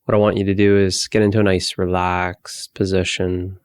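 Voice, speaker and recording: Male meditation guide: low, even delivery, soothing and very unhurried, close dry recording. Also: clear, resonant tone, dry recording. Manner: calm, soothing, unhurried instruction with long pauses.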